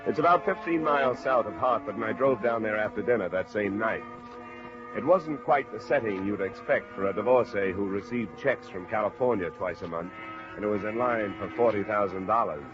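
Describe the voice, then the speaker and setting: Actor as deep-voiced hard-boiled radio investigator. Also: male voice, a little fast, over music, close to an everyday voice. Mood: dry narration. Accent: American. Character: dry, stubborn male insurance investigator. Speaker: lead actor and narrator of a detective series. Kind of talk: 1950s radio detective acting. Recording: muffled, noisy old radio broadcast.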